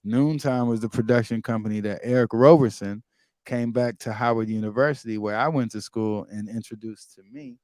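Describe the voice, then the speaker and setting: A man from the Bahamas, a music producer and DJ, telling stories in a relaxed, casual interview and acting out the voices he quotes. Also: mid-range voice, brisk, no echo. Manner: relaxed storyteller, acts out quoted voices, drops to quiet asides.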